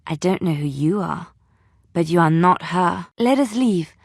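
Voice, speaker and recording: A woman playing a devout, gentle, romantic young companion in a fantasy video game, speaking in formal, earnest phrases. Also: lowish voice, animated, a little slow, vocal fry, dry recording, studio quality, full character voice. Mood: earnest, resolute.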